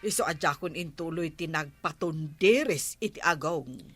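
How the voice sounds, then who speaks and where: A woman's voice: low voice, animated, a little slow, fairly bright tone, husky, no echo, faint music behind, exaggerated voice. An actress playing a fussy, anxious village woman in an Ilocano radio comedy, hesitant and full of exclamations, with an Ilocano accent. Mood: nervous.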